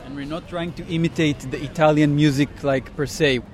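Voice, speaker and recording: A man's voice: on the high side, steady tone, a little fast, a little mellow, faint music behind. Israeli-accented male musician, casual. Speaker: band musician, interviewee.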